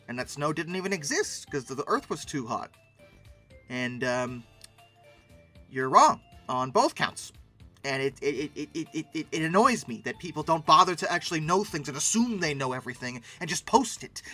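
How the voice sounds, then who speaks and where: High-pitched, very bright man commenting casually. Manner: animated, emphatic, repeats words for effect. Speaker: host of a paleoart commentary channel.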